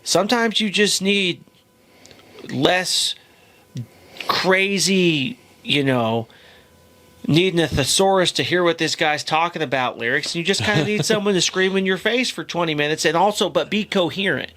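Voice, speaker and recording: A man's voice: a little high, moderate pace, pushed and bright. Rambling man chatting about music. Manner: rambling, restarts often, swears casually. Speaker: podcast host and band member. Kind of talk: casual chat about music.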